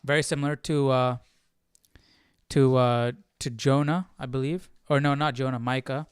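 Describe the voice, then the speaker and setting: A man hosting a casual Bible study livestream, haltingly thinking aloud as he comments. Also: medium pitch, fairly even intonation, clear, resonant tone, no room sound, studio quality, faint music behind.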